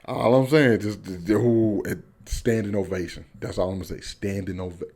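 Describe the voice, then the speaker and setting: A man's voice: on the low side, steady tone, a little fast, a little mellow. An American man giving a casual, unscripted movie review to viewers, enthusiastic and slangy, repeating phrases for emphasis.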